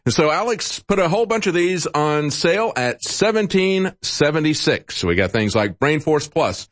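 A man hosting a talk radio show, reading product offers briskly and then giving earnest opinions to a guest. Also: a little high, sing-song, a bit bright, dry recording.

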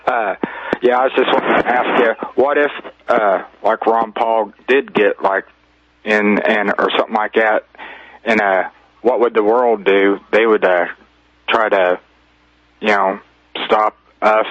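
A man's voice: lowish voice, fairly even intonation, fairly bright tone, no room sound. A man from Indiana calling in to a radio show, speaking hesitantly with many fillers. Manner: hesitant, halting. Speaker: caller. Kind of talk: phone-in call. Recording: muffled radio broadcast.

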